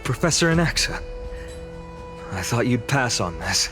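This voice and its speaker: Earnest game character, lively intonation, slow pace, respectful, questioning, music behind. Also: male voice, mid-range voice. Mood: earnest doubt. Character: earnest, questioning man addressing his professor. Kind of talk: video game voice acting.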